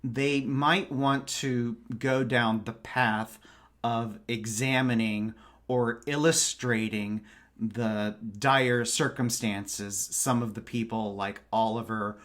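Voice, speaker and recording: A man speaking as a podcast guest in a casual book discussion, with thoughtful, measured phrasing. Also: mid-range voice, fairly even intonation, a bit bright.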